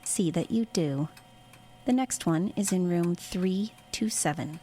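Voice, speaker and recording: An actress playing a cold, calculating superior in a covert military unit, clinical and authoritative, in an audio drama. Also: female voice, lowish voice, unhurried, mellow, a little hiss or hum, natural voice. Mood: coolly calculating. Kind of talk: audio horror drama acting.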